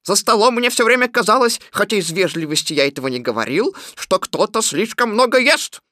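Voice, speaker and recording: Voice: mid-range voice, sing-song, a little fast, pushed and bright, no echo, studio quality. An actor playing a fussy rabbit in a children's audio tale, polite but stern, with a prim, lecturing manner. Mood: stern, reproachful.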